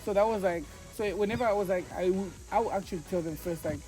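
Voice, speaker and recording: A man's voice: sits high, quick pace, mellow, faint music behind, poor audio. A man from Tanzania whose first language is Swahili, a guest on a vlog, talking outdoors by a lake in a thoughtful, hesitant way. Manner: thoughtful, hesitant, with fillers and restarts. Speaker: guest, travel vlogger. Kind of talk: casual chat.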